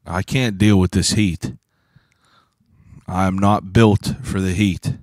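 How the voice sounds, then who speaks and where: A man in his thirties from Pittsburgh, hosting a podcast in a casual, rambling solo talk, hoarse from shouting, swearing freely and filling gaps with "uh". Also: low-pitched, fairly even intonation, slow pace, no room sound, clean studio sound. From Pittsburgh, USA. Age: 30s. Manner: rambling, profane, self-deprecating; frequent "uh" fillers and throat clearing. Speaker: podcast host.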